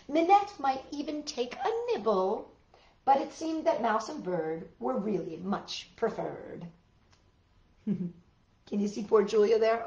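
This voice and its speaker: A woman's voice: mid-range voice, darkish tone, dull audio. A woman reading a picture book aloud to children with dramatic emphasis and chatty asides to her listeners. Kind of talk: expressive children's read-aloud. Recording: roomy, echoey room sound.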